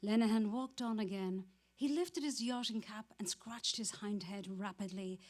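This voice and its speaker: A woman's voice: mid-range voice, moderate pace, a little mellow. A woman giving scripted literary narration in a dramatic reading.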